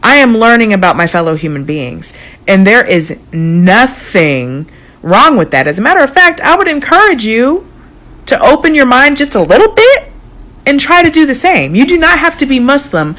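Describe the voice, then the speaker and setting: An American woman talking casually to camera as a content creator, with an expressive, emphatic delivery. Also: on the high side, moderate pace. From United States. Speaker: social media content creator. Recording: clearly noisy clip with room ambience.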